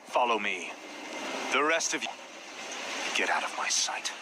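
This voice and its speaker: A man playing a proud, headstrong young prince in a video game cutscene, forceful and imperious. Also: fairly low pitch, big pitch swings, a little slow, very noisy. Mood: angry command. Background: loud music.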